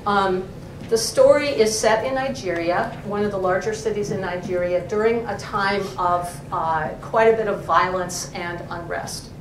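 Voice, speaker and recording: A woman's voice: mid-range voice, moderate pace. Woman professor, distant in echoey room. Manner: thoughtful, explains terms, frequent ums. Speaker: professor, co-editor presenting a journal issue. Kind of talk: academic talk to a library audience. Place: library event room. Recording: echoey room, far mic, noisy.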